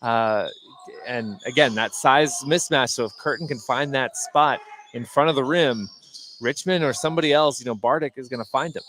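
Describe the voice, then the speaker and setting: Halting male color commentator, mid pitch. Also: fairly bright tone. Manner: halting, repeats words while thinking aloud. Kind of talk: unscripted sports analysis. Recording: faint gym noise behind the voice. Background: indoor gymnasium ambience.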